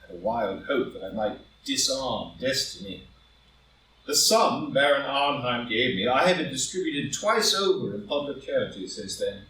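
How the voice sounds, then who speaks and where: A man playing a proud, ambitious wealthy gentleman in a stage drama reading, speaking in an impassioned, grandiloquent and emphatic way. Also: mid-range voice, lively intonation, normal speed, slight background noise. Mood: pleading, uneasy. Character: proud, ambitious wealthy gentleman defending his guilty secret. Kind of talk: live group play reading, stage drama. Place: indoor room, live in-person group reading. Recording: roomy live recording, distant from mic.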